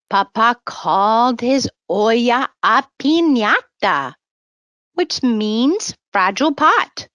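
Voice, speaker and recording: A woman reads a picture-book story to small children like a teacher. Her delivery is extremely slow and deliberate, with animated rises and falls in pitch. The sound is dry and close, and each phrase is kept simple and clearly set apart. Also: dry recording. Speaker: children's storybook author reading aloud. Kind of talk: read-aloud bilingual children's story with word-repeat prompts.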